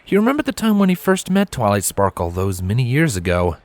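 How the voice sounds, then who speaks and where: A man's voice: medium pitch, wildly swooping pitch, fairly quick, a little mellow, no room sound. A man reading scripted story narration as the narrator.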